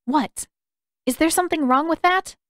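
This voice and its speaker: A stubborn teenage girl, guarded and set on her course, read by a woman narrator. Her voice is fairly high, in a dry audiobook recording. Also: normal speed, fairly bright tone, dry recording. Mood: questioning. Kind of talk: character dialogue in audiobook narration.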